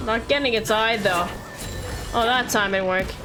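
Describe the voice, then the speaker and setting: A woman's voice: fairly high pitch, normal speed, rough recording. A woman live-streaming a game, chatting casually and playfully in a rambling way to viewers and herself. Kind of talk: casual livestream chat while playing a game. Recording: background music behind voice. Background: game music.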